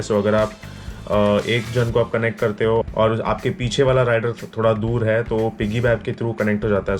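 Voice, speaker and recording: Low-voiced Indian man reviewing gear over music. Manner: informal, explanatory, addresses viewers as guys. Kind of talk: casual product review, mixing Hindi and English.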